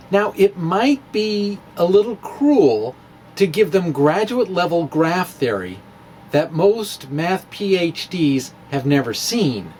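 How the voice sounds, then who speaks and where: A man giving a playful, self-mocking demonstration of math with clay and pipe cleaners. He often pauses and restarts while he works with his hands. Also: on the high side, a little mellow, slight background noise. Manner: wry, self-mocking, chuckles at own jokes. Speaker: physics blogger demonstrating math.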